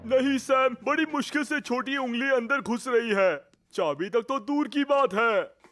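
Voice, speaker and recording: Apologetic, eager rookie fireman, high pitch, cartoon dub over music. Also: unhurried, bright, forceful tone, full character voice. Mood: sheepish, eager. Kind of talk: animated children's cartoon dub.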